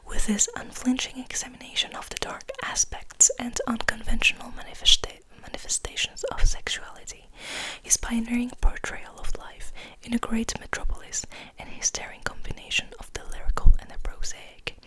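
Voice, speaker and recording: A woman's voice: a little high, flat delivery, normal speed, low-quality recording. Whispering woman, intimate ASMR reading. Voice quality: breathy unvoiced whisper. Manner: intimate, soothing, repeats words softly. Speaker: ASMR host reading poetry aloud.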